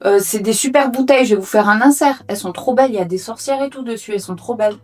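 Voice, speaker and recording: A woman's voice: fairly high pitch, rapid-fire, a little mellow. A woman whose first language is Portuguese, chatting casually with playful, self-mocking asides while she tidies her room as a book vlogger. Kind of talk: casual chat while tidying. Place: her own hobby room.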